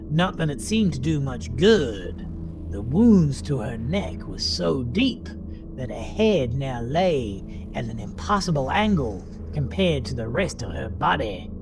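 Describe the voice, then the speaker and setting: A man narrating a scripted horror story in a dramatic, deliberate, suspenseful manner. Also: mid-range voice, poor audio. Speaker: audiobook-style narrator. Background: music under the voice.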